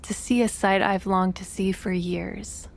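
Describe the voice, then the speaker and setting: A woman's voice: mid-range voice, fairly even intonation, unhurried, gravelly, slight background noise. A woman playing a cunning, vengeful con artist in a crime audio drama, composed and taunting. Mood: mock sweetness.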